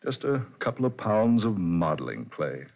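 A man's voice: fairly low pitch, very animated, moderate pace, creaky, breathy edge, heavily acted. An actor playing a calculating male attorney, formal and self-assured, in a 1940s radio drama. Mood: smug, casual. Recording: muffled vintage radio recording.

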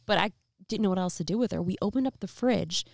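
A woman's voice: mid-range voice, quick pace, gravelly, a little mellow, no room sound, clean studio sound. A young woman co-hosting a podcast outdoors, chatting casually and rambling with lots of fillers into a handheld mic. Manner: rambling, filler-heavy storytelling. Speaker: podcast co-host. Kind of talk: casual chat. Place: outdoors by a fire pit.

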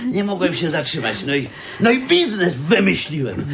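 An actor playing an eager, cheerful can-collecting hustler in a radio drama. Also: male voice, on the high side, sing-song, moderate pace, a bit bright, a little hiss or hum. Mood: excited.

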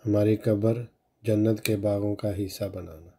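A man slowly reciting a long devotional supplication in a solemn, measured way. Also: on the low side, fairly even intonation, mellow, husky. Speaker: prayer reciter.

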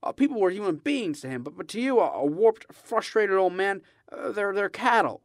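A high male voice , an actor's, for an earnest young idealist in a radio drama. He stammers and restarts, then pushes on with emphasis. The sound is very dry. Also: expressive, normal speed, no room sound. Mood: indignant, impassioned. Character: earnest, impassioned young man, stammering small-town idealist.

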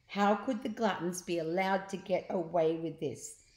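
A woman in her sixties reading a children's story aloud expressively and deliberately, with asides to young listeners. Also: lowish voice, fairly even intonation, darkish tone. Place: large open living room, indoors. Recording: roomy, echoing living room.

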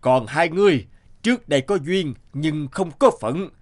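A man's voice: fairly high pitch, expressive, normal speed, natural voice. A man playing a stern, commanding judge in a cartoon fairy tale, speaking in an authoritative, declamatory way. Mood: solemn, ruling. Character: stern commanding male judge passing sentence.

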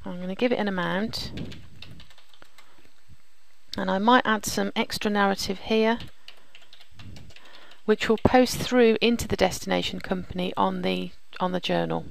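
Very creaky-voiced woman presenting software. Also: medium pitch, steady tone, measured pace, pauses often, poor audio. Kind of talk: scripted software demo narration.

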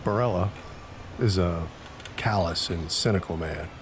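A man narrating an audio drama in a deliberate, brooding way, pausing between short phrases for dramatic weight. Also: deep voice, slight background noise.

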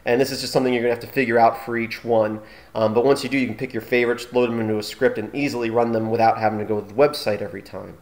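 Male tutorial host, informal and halting, average pitch, thinks aloud while demonstrating. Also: flat delivery, darkish tone.

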